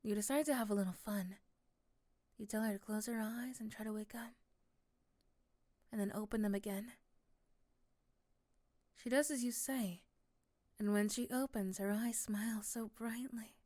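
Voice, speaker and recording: A woman narrating a scripted ASMR romance in the second person, softly and often dropping to a whisper. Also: fairly high pitch, steady tone, normal speed, pauses often, dry recording, clean studio sound.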